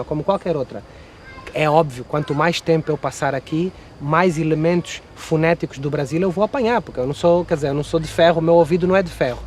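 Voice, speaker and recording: A man's voice: a little high, darkish tone, slight background noise. A man from Angola with an Angolan Portuguese accent, explaining his views in an articulate, opinionated way in a documentary interview.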